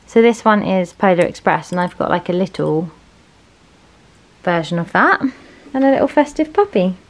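A woman's voice: lowish voice, big pitch swings, moderate pace. A young British woman chatting casually and informally to a handheld camera as she vlogs. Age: young adult. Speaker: vlogger. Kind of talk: casual vlog chat. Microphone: handheld camera at arm's length. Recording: noisy handheld vlog, room and car sounds.

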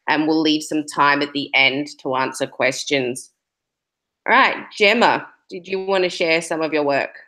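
A young woman moderating a live online panel on a video call from a room at home, brisk and friendly. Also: low voice, pushed and bright. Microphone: laptop webcam on a video call.

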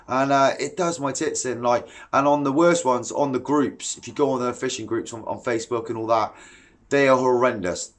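Blunt, ranting man on webcam. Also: medium pitch. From England. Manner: blunt, animated and exasperated, gestures while talking. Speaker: fishing vlogger. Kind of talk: ranting to camera. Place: living room at home, indoors. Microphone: webcam at desk distance.

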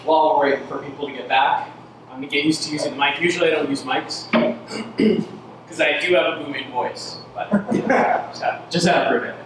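A man's voice: on the high side, moderate pace. Man giving a casual talk in an echoey hall. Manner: casual, joking, loose with fillers. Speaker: meetup speaker. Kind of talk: live tech presentation. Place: large indoor event space with audience. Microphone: handheld mic. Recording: echoey room, mic from far off, noisy.

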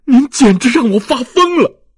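Man playing an excitable young bridegroom, swooping pitch. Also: normal speed, slightly rough, no room sound, studio quality, heavily acted. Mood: bewildered, upset. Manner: exclaiming, emotional. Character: excitable young bridegroom, eager, shocked, earnest.

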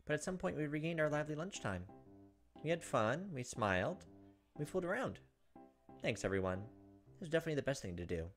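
A man's voice: fairly high pitch, big pitch swings, fast talker, pauses often. Man reading a visual novel aloud, music behind. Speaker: gameplay video host. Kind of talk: expressive read-aloud narration of a visual novel.

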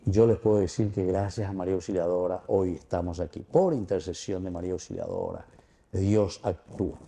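A man's voice: sits low, big pitch swings, a little fast, vocal fry, dark tone. An older man with a Paraguayan accent, a priest speaking emphatically and devotionally at Mass. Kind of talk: gospel reading and homily at Mass. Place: indoor chapel. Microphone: small mic clipped to vestment.

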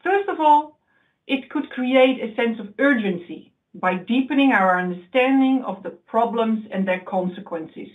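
A woman giving a webinar talk from slides, explaining in a measured way with occasional slips and restarts. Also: a little high, animated. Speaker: research presenter. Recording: tinny phone-like audio.

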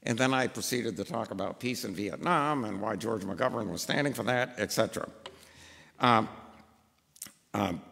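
A man's voice: fairly low pitch, normal speed, gravelly, pauses often, fairly bright tone. An older man, a pastor, preaching a sermon from a pulpit, telling personal stories in a rambling way with many fillers. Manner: rambling, anecdotal, with frequent fillers. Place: church sanctuary, at a pulpit. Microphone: gooseneck pulpit mic. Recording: church hall reverb.

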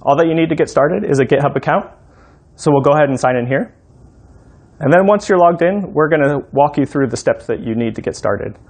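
A man in his thirties giving an instructional, step-by-step walkthrough as a software demo presenter. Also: a little high, brisk. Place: room in a home.

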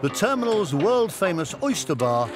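A man narrating a documentary in a measured, scripted voice-over. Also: sits high, very animated, music in the background. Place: studio voice-over over music.

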